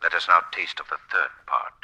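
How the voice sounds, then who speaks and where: A man's voice: deep voice, steady tone, sharp tone, husky, heavily acted. A man acting a sinister, all-knowing narrator in a 1940s radio thriller, theatrical and ominous, drawing out his words. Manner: ominous, theatrical, drawn-out delivery. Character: sinister, omniscient male narrator relishing crime and doom. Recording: old 1940s radio broadcast.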